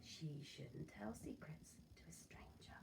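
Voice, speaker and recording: A woman narrates a children's story with deliberate, dramatic phrasing. Her pitch moves in lively swoops and her pace is unhurried. She is recorded at some distance, with faint noise. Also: vocal fry, in a whisper. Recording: voice far from mic, noisy room.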